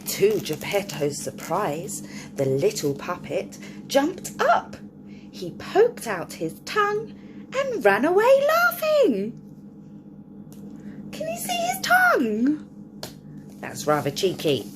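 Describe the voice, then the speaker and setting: A woman reads a picture book aloud to small children, her voice swooping up and down as she asks questions along the way. She speaks slowly and a little high. There is steady noise in the background. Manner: animated, sing-song, asks the listener questions. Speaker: storyteller for children.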